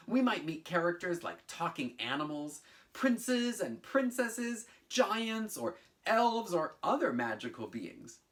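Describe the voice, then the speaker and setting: A man in his thirties teaching children and telling stories in a theatrical, clearly enunciated way. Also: a little high. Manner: animated, theatrical, clearly enunciated, sing-song chants. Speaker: teaching artist and presenter. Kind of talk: children's lesson, storytelling and instruction. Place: bedroom at home, indoors.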